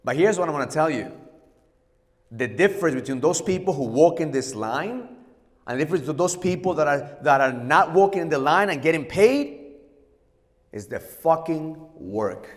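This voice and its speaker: A man's voice: a little high, animated, moderate pace, in a large hall. Profane Ecuadorian-born man giving a keynote. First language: Spanish. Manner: blunt, profane, switching between Spanish and English. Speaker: keynote speaker. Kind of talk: motivational keynote talk. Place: hotel conference hall.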